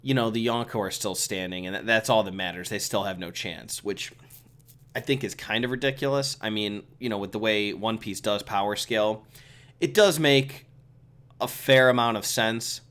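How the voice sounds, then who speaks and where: A man who is a little sick hosting a relaxed, chatty solo review, giving his opinions with lots of fillers. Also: fairly low pitch, moderate pace. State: sick with a cold. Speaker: video host reviewing a comic chapter. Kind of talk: casual solo commentary.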